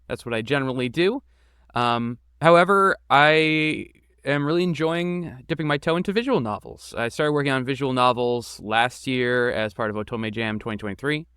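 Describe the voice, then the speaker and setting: A man's voice: mid-range voice, a bit bright, clean tone, dry recording, clean studio sound. A man answering interview questions casually as a podcast guest, with an informal, enthusiastic and self-deprecating manner. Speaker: podcast guest, game creator and voice actor.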